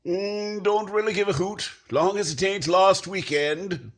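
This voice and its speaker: A middle-aged man acting a dry, plainspoken farmer in 1940s radio comedy style, laconic and deadpan. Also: high-pitched, a little slow. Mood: dry, folksy.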